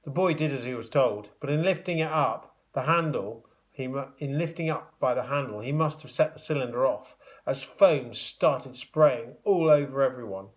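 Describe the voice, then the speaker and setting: A man narrates a children's book in the manner of a primary teacher at story time. His voice sits at a middle pitch, and he tells it expressively, leaning on the dramatic words. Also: normal speed. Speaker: primary school teacher reading aloud.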